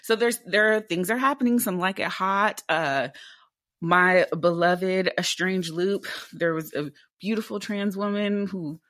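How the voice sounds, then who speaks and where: A woman in her twenties with a deep alto voice, talking candidly as a theatre actor in a casual interview, animated and full of rhetorical questions. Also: measured pace, no room sound, studio quality. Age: 20s.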